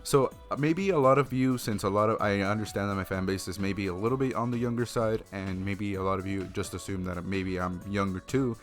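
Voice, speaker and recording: Laid-back low-voiced young man. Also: fairly quick, hardly any pauses, faint music behind. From Mexico. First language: Spanish. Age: 20s. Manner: casual, swears freely, rambling with many fillers. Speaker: YouTube streamer. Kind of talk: casual Q&A vlog.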